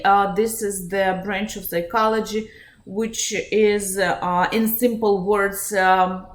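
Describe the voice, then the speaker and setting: Russian-accented woman giving a webinar. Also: medium pitch. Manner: earnest, direct, frequent "uh" fillers. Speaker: psychologist and life coach hosting a webinar. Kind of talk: live online webinar talk.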